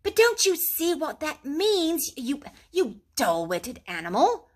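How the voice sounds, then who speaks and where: A woman voicing a kindly, excitable male rat who talks briskly and eagerly. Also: medium pitch, creaky. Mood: excited.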